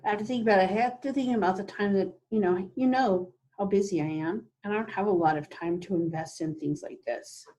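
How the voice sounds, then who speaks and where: A woman with a medium-pitched voice talks as a client in a coaching session. Her delivery is conversational, as in a roleplay followed by reflection. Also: fairly quick, hardly any pauses, warm tone.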